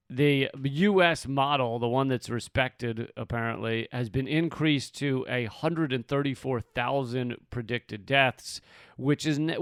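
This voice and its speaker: A man's voice: medium pitch, fairly bright tone, clear, resonant tone, dry recording, studio quality. A man hosting a political podcast in casual chat, joking, swearing freely and laughing often. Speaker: podcast host.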